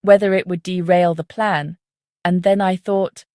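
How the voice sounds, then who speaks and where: A woman reading a scripted first-person story as the narrator of a dark psychological tale. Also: lowish voice, steady tone, a little slow, no echo, clean studio sound.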